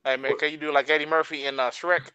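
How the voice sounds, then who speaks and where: A man chiming in on a casual podcast with quick, chatty asides. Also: on the high side, level tone, lo-fi sound, over a noisy crowd.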